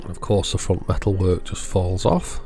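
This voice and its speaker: A man's voice: deep voice, animated, moderate pace, dark tone, soft background music. A man hosting an electronics repair channel, giving casual, matter-of-fact narration of the job as he goes.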